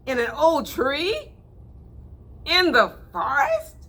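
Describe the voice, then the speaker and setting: A woman's voice: high voice, wildly swooping pitch, slow pace, a bit bright, voice pushed up, full character voice. A woman voicing a boastful, snobbish male city mouse who sneers at simple country life. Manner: bragging, sneering, fussy.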